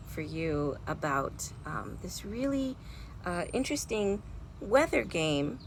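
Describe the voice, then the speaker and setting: A woman speaks to young children as a storytime host, with a lively, swooping delivery. She uses simple words and often turns to her listeners with questions. She is recorded outdoors, with faint noise around her. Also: fairly low pitch, vocal fry. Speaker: children's librarian hosting storytime. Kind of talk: read-aloud and chat to young children. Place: outdoors at home.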